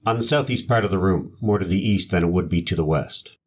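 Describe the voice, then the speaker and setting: A man's voice: low voice, brisk, breathy edge. A man voicing a careful 1890s police marshal giving guarded, formal testimony in a dramatized trial reading. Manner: clipped, formal, guarded answers. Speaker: voice actor reading a witness's part.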